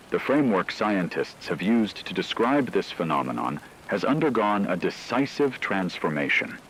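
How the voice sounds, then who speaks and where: Guy doing deep solemn radio news anchor, formal, voice moves around a lot, rainy noise behind. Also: fairly bright tone, exaggerated voice. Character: grave male radio news anchor reporting on a catastrophe. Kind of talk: scripted radio news report. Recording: noisy, rain-like background ambience.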